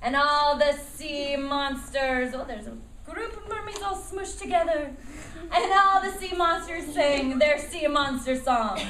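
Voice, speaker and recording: A woman's voice: high voice, unhurried, fairly bright tone. A woman narrating a live puppet show for children, animatedly coaching and prompting them as she tells the story. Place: echoey room at a school puppet show. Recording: echoey, distant mic, noisy room.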